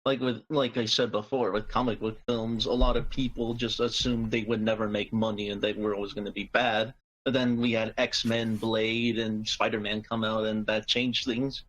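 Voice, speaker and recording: A man's voice: on the low side, flat delivery, moderate pace, a bit bright, muffled recording, a little hiss or hum. A man speaking as a panelist in a casual group discussion. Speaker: podcast panelist.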